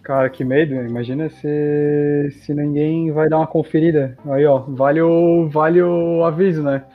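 A man's voice: fairly high pitch, fairly even intonation, moderate pace. A man hosting casual podcast chat, prompting the others to tell their stories. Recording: slightly dull sound with faint music.

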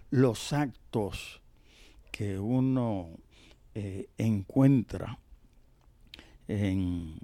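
Slow, halting Puerto Rican man. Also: on the low side, dark tone, dry recording. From Puerto Rico. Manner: halting, searching for words with long pauses. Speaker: interviewed professor and author. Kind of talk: conversational oral history storytelling.

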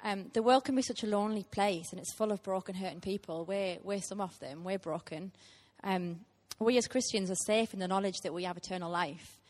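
A woman's voice: medium pitch, fairly quick, hardly any pauses, darkish tone. A woman, preaching a heartfelt sermon to a church with frequent ums. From Teesside, England. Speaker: guest preacher.

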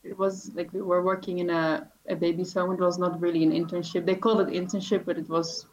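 A woman's voice: on the low side, flat delivery, fast, a little mellow. A young Dutch woman with a Dutch accent, chatting casually as a podcast guest, laughing often and restarting her sentences. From Netherlands. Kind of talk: casual interview chat. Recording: dull lo-fi audio.

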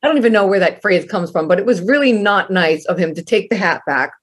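A woman in her fifties, scratchy from a night of karaoke, telling stories in animated casual chat and swearing freely. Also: mid-range voice, quick pace, husky. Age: 50s. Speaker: guest masseuse. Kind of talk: casual storytelling.

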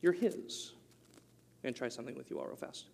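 A man's voice: mid-range voice, quick pace, gravelly, a little mellow, in a large hall. A young man with an Oklahoma accent giving a youth sermon, moving from a casual story into emphatic preaching. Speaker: youth speaker.

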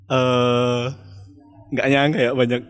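A young man co-hosts a podcast in loose, friendly chat. He has a Jakarta Indonesian accent and drops in slang. His voice sits at an ordinary middle pitch, and he breaks into laughter often. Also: level tone, takes it slowly, smooth, faint music behind. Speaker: podcast co-host.

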